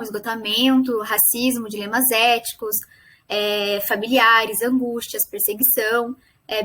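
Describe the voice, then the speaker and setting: High-pitched young Brazilian woman presenting. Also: fairly bright tone. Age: young adult. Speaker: student presenting a final project. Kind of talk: academic presentation.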